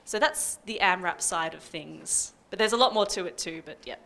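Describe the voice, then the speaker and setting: A woman's voice: on the low side, moderate pace, creaky, a bit bright, a little hiss or hum. A young Australian woman explaining things informally as a panel speaker, on a handheld microphone. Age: young adult. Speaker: panel speaker from a community radio music service. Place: indoor music venue, small stage panel.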